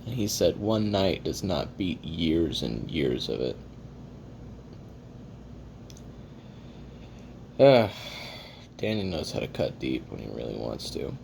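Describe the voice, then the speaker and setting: A man's voice: very animated, a little slow, creaky, darkish tone, heavily acted. A man voicing a jaded, hard-drinking assassin recording his memoirs in a wry, profane, self-deprecating, rambling confession for an audio roleplay. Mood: wry, bitter. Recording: audible hiss and low rumble.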